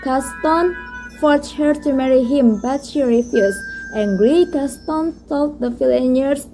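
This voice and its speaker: A woman's voice: high-pitched, a little slow, warm tone, faint music behind, low-quality recording. A young woman reading scripted material as a student storyteller and presenter. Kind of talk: scripted fairy-tale narration and school presentation.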